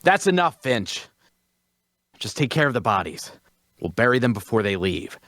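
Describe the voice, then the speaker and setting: A man's voice: medium pitch, sing-song, moderate pace, a bit bright, no echo, clean studio sound. A man playing the stern, cautious leader of a band of fantasy adventurers in an audio drama. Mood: commanding. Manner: commanding, questioning. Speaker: actor in an audio drama table read.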